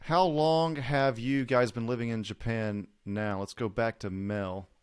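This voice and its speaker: A man's voice: mid-range voice, steady tone, unhurried, a little mellow, smooth. Chatty male interviewer. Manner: chatty, self-deprecating, laughs easily. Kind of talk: casual interview chat.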